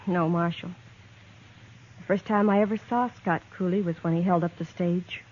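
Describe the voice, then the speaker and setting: An American actress playing an earnest, quietly determined young frontier woman in a 1950s Western radio drama. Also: on the low side, fairly even intonation, normal speed, close to an everyday voice. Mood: guarded, earnest. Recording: tinny, narrow-band 1950s radio recording.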